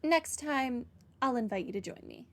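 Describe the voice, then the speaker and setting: A woman's voice: fairly high pitch, animated, normal speed, fairly bright tone. A woman game streamer giving casual commentary on a playthrough. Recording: game music behind the voice.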